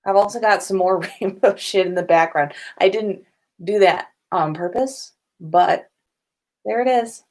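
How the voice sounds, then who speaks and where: Slow-paced, chatty woman livestreamer. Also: mid-range voice, a little mellow. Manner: informal, chatty, sprinkled with swearing and giggles. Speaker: podcast host on a livestream. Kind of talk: casual livestream chat with viewers. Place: indoors at home.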